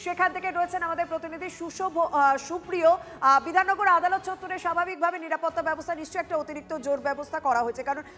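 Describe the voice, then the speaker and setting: A woman's voice: extremely high pitch, rapid-fire, sharp tone. A woman anchoring live breaking news in an urgent broadcast style.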